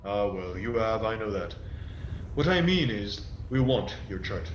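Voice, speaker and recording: A man's voice: lowish voice, sing-song, unhurried. Man acting pirate ringleader, radio play, sly, falsely polite, menacing, echoey dull recording. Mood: wheedling, sly. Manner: wheedling, folksy old seadog talk. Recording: echoey, dull, faintly noisy home recording.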